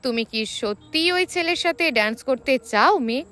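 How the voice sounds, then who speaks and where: A possessive man in a romance storybook, played by a woman narrator. The voice is high and animated, pressing each line with insistent command. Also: moderate pace. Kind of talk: audio storybook dialogue.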